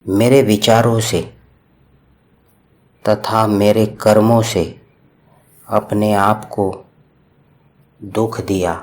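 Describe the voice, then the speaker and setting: Very slow, calm male meditation guide. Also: fairly low pitch. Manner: slow, calm, soothing instructions with long pauses.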